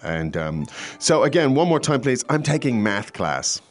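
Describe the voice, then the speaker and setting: A man of ordinary pitch hosts a podcast language lesson, his voice rising and falling a great deal. He explains things in a relaxed way, full of small pauses and fillers. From foreign to China. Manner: relaxed, explanatory, many hesitations. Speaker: language teacher host.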